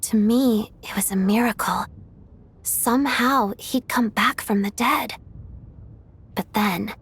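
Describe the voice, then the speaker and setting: An actress voicing a secretive, earnest female undercover operative in a video game, with a measured, confiding delivery. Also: fairly high pitch, a bit bright. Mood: troubled, confiding.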